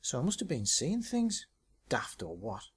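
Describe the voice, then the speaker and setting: A man's voice: mid-range voice, wildly swooping pitch, normal speed, slightly rough, exaggerated voice. A man voicing a chatty, naive young woman with a colloquial, exclamatory way of talking. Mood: chatty, excited. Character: chatty, giggly young woman paintball player, naive and blunt.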